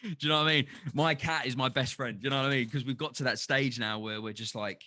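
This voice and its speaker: A man's voice: medium pitch, steady tone, fast, pushed and bright, no room sound. A middle-aged man from London with a Cockney accent, speaking passionately as a podcast guest with restarts and the odd swear word. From London, England. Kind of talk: casual podcast conversation.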